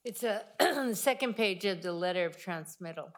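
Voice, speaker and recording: Woman, middle pitch, committee member relaying details from a document. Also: expressive, normal speed, slight background noise. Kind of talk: casual meeting discussion.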